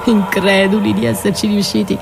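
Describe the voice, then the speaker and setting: A woman's voice: mid-range voice, flat delivery, creaky, close to an everyday voice. A woman performing a dramatic radio theatre monologue as a battered wife, wounded, accusing and defiant, with intense delivery. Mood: wistful. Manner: slow, intense delivery swinging from whispers to cries. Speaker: stage actress performing a solo monologue. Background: music.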